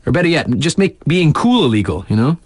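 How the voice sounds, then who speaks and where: An actor playing a laid-back, wry mountain-town radio DJ in a video game, reading mock local news with casual, slangy deadpan asides. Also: male voice, fairly high pitch, a little fast, no echo. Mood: sarcastic.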